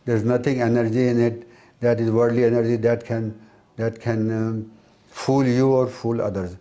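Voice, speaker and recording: A man giving an explanatory spiritual talk as an interviewed teacher, with halting, repetitive phrasing. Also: medium pitch, level tone, warm tone.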